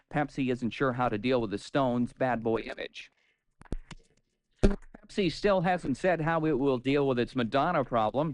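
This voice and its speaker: A man's voice: medium pitch, dry recording. A man reading scripted entertainment news on the radio, with chatty asides.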